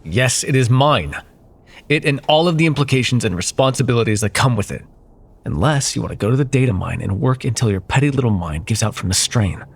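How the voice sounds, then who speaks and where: A man playing a smug, possessive corporate CEO and jilted ex-lover in an intimate ASMR roleplay, with silky condescension and pointed pauses. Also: mid-range voice, animated, gravelly, husky. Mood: commanding, possessive.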